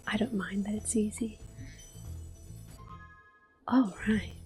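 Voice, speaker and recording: A young woman whispering gentle, unhurried commentary while playing a video game for an ASMR video. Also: mid-range voice. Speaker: ASMR gaming host.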